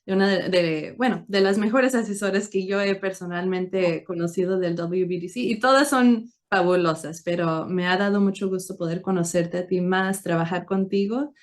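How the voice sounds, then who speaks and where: A woman's voice: fairly low pitch, steady tone, moderate pace, few pauses. A woman hosting a conversational interview, speaking in an encouraging, effusive way. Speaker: interviewer, show host; attorney.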